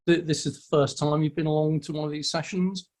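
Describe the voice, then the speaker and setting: A man's voice: fairly high pitch, fairly even intonation, brisk, a little mellow. Welcoming man hosting a video call. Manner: friendly, stammering restarts, welcoming. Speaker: host of a weekly online meetup. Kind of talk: casual chat on a video call.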